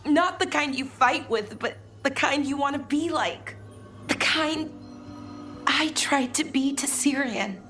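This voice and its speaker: A tender young elf woman in a fantasy game. Her high voice moves slowly and sincerely, full of wistful grief, with soft music behind her. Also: lively intonation, full character voice. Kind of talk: dramatic video game acting.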